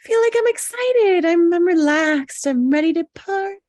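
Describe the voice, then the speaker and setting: A woman's voice: very high voice, animated, moderate pace, darkish tone, no room sound, clean studio sound. A woman in a comedy table read playing a drugged, loopy airline passenger with a woozy, rambling drawl. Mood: woozy, giddy.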